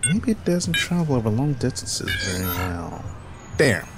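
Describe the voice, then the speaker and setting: An actor playing a nerdy, earnest inventor who explains technical things precisely in a comedy radio drama. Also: male voice, mid-range voice, big pitch swings, unhurried, music in the background. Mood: matter-of-fact.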